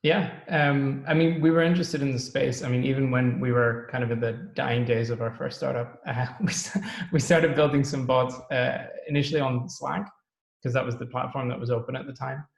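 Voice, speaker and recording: A man's voice: mid-range voice, steady tone, a little fast, gravelly. A man from the Netherlands, a guest on a podcast, chatting casually and thinking aloud with frequent ums. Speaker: podcast guest, startup co-founder. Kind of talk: casual interview chat. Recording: roomy echoey sound.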